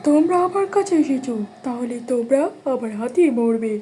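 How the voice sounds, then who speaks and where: A woman's voice: sits high, animated, a little fast, background noise. A woman voicing a menacing, taunting witch ghost who devours travellers in a cartoon story. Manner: threatening, taunting, scolding.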